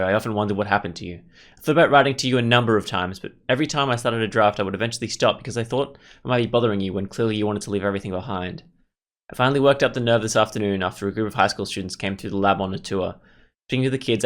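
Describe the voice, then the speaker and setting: A man's voice: lowish voice, fairly bright tone. A man hosting a gameplay video, commenting and reading the game's text aloud. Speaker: gaming video host.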